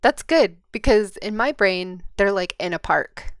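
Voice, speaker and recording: A woman's voice: mid-range voice, animated, unhurried, no echo, clean studio sound. An American woman co-hosting a podcast, chatting casually about books and thinking aloud in an informal, tentative way. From Midwestern United States. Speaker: podcast co-host. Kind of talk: casual book chat.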